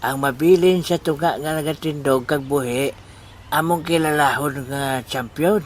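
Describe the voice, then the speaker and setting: A man's voice: on the high side, a little slow, slight background noise, close to an everyday voice. A man playing a scheming, money-minded village man in a comedy audio drama.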